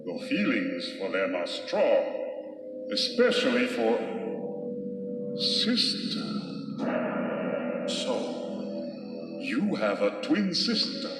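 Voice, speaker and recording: A commanding dark lord from a space storybook. He speaks with great deliberation and wide swings of pitch. His resonant voice rings in a long, echoing space with music behind. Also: very animated, extremely slow, pauses often. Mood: menacing, taunting. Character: menacing dark lord, fallen father of a young knight. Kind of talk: dramatised storybook acting.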